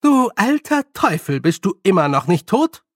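Audiobook role: bossy, good-humoured old witch woman played by a man, high voice, animated, stern and teasing. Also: moderate pace, dry recording, studio quality. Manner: loud, stern, teasing, colloquial scolding. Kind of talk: audiobook character voice.

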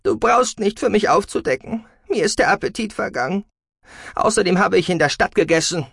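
A man's voice: sits high, sing-song, moderate pace, gravelly, slightly rough, no room sound, clean studio sound, pitched above the performer's own voice, exaggerated voice. A man voicing a hot-tempered, defiant adolescent girl in an audiobook, indignant and emphatic. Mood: furious, defiant. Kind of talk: dramatic audiobook character acting.

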